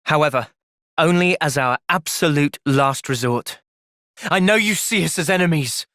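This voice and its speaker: A man with an English accent voicing an earnest, thoughtful young soldier hero in a fantasy video game, speaking in a measured, reflective way. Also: a little high, bright, forceful tone, no room sound, studio quality. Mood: calm, thoughtful. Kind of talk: video game cutscene acting.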